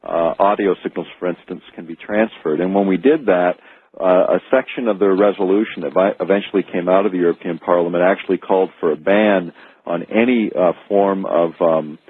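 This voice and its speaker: Deep, husky North American man, phone-like sound. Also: fairly quick. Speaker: guest author and researcher. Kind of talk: radio interview, explanatory account. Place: remote call from Alaska into radio show. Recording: phone-like, echoey archived radio call.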